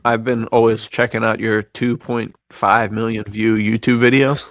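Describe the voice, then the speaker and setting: A man's voice: fairly low pitch, flat delivery, measured pace, dry recording, studio quality. A man chatting casually as a podcast co-host, with a slangy, self-deprecating way of talking. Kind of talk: casual chat.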